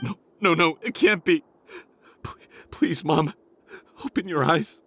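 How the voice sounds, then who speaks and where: A man's voice: a little high, lively intonation, takes it slowly, gravelly, fairly bright tone, slight background noise, exaggerated voice. An actor playing a desperate young man who pleads and stammers as he tries to reach his mother. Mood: pleading.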